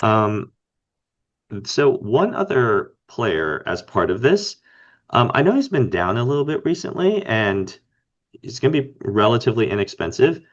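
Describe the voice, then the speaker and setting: A man co-hosting a casual fantasy game podcast, slightly raspy from being under the weather, rambling and thinking aloud with lots of fillers. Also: medium pitch, sing-song, measured pace, dull audio. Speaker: podcast co-host.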